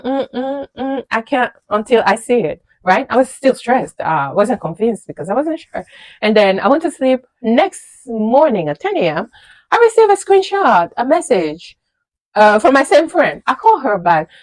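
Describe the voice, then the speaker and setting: A woman in her thirties whose first language is French, working as a registered nurse and telling her journey in a casual vlog, animated and emphatic, with many fillers and repeated words. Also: on the high side, no room sound, low-quality recording. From French-speaking country, immigrated to the USA. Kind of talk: casual personal storytelling to camera.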